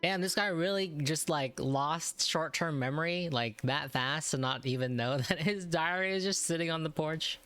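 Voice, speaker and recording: Very low-voiced woman doing gameplay reactions. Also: moderate pace, few pauses, fairly bright tone, no room sound. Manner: informal, sarcastic asides. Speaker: gamer reacting to game. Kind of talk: casual gameplay commentary. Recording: game music faintly behind. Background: faint game music.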